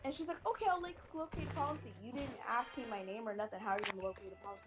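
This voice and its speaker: A woman in casual stream chat, venting in an animated way and acting out the voices she quotes. She says her natural voice is deeper and that she pitches it up. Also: racing. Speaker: stream co-host. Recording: music playing behind.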